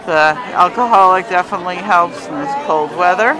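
A woman's voice: fairly low pitch, normal speed, rough recording. A woman hosting a cheerful, chatty walking tour of an outdoor Christmas market, filmed on a handheld phone camera. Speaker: travel agent and host. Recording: busy crowd noise around. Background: crowd chatter.

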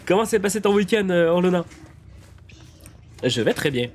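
Brief: young male streamer with a head cold, lively up-and-down delivery, slightly high voice, live game chat to viewers. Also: unhurried, slight background noise. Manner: excitable, repeats himself, chats with viewers. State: nasal from a cold. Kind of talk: live gameplay commentary.

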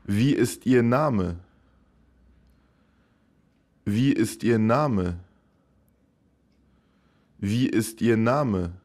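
Man, language teacher, slow repeated drill, very unhurried, lowish voice. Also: darkish tone. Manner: says each phrase three times, slow and clear.

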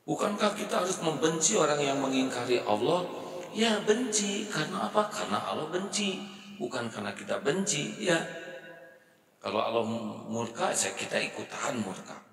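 A middle-aged man preaching a relaxed, chatty sermon, often turning to the crowd for a nod of agreement. He speaks into a handheld mic in a big mosque hall, and his voice comes through roomy and distant, with a long echo. Faint room noise sits under him. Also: low voice, vocal fry. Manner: conversational, often asks the audience to agree and swings between soft and loud. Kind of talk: casual sermon to a congregation. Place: large mosque hall, indoors. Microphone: handheld microphone. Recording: echoey hall from far off.

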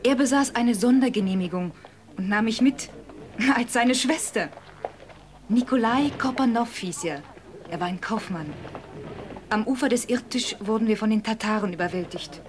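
Actress as an earnest young woman, fairly high voice. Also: moderate pace, slight background noise, natural voice. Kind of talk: German audio drama acting.

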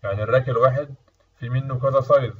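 A man's voice: mid-range voice, steady tone, rapid-fire, husky. A man with an Egyptian Arabic accent giving an explanatory technical lecture as an instructor, often asking and answering his own questions. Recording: muffled, distant mic, dull sound.

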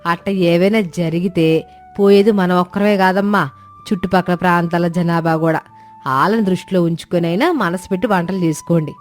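A woman's voice: on the low side, fairly even intonation, normal speed, faint music behind, lightly acted. Woman as a stern, long-suffering mother-in-law. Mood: admonishing. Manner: admonishing, resigned.

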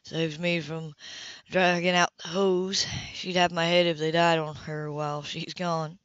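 Brief: quiet gruff man voiced by a woman, low soft voice, dry dull recording. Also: level tone, unhurried, no echo. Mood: laconic.

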